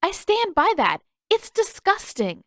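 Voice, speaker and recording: A woman's voice: very high-pitched, huge pitch swings, measured pace, a bit bright, no room sound, studio quality, voice pushed up, heavily acted. A woman voicing a stubborn, exasperated teenage girl with an indignant, emphatic delivery in a story reading.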